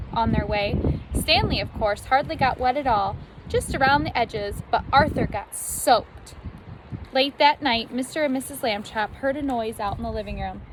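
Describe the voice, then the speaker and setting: A woman reading a children's story aloud like a teacher, expressively, with animated emphasis on key words. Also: a little high, background noise.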